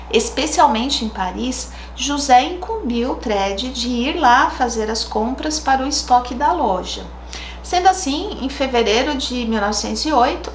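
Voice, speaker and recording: A woman's voice: fairly high pitch, roomy sound, slight background noise. A woman with a Brazilian Portuguese accent hosting a true crime channel, telling the case in a casual, chatty way with spoken asides. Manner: chatty, thinks aloud with asides.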